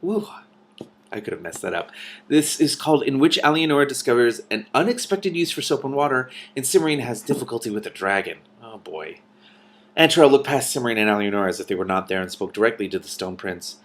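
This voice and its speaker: A man's voice: mid-range voice, sing-song, brisk. A man reading a storybook aloud expressively, with casual commentary. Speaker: online book reader and commentator.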